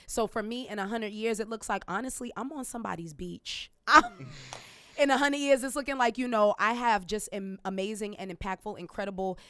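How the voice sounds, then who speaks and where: An energetic woman talking through her work in a sit-down interview, punching her words and breaking into laughs. Her voice sits at a middle pitch. The sound is dry and close, with no room behind her. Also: brisk, dry recording. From Jamaican family. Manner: animated, emphatic, laughs often. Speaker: guest entrepreneur. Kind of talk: casual interview conversation.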